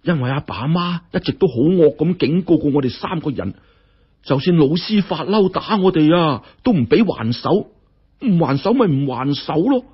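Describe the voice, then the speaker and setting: A man plays a mischievous young master in an audio story. The boy is excitable and conspiratorial, speaking Hong Kong Cantonese in a raised, swooping, rough-edged voice. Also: a little high, moderate pace, lo-fi sound, pitched above the performer's own voice. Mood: nervous plotting. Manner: conspiratorial, eager, colloquial.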